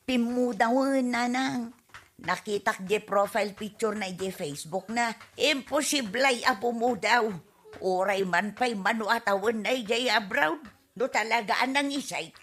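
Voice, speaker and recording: Nagging wife and auntie, Ilocano radio comedy, played by a woman. Bright, forceful, complaining delivery on the high side. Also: a little slow. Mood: nagging, griping.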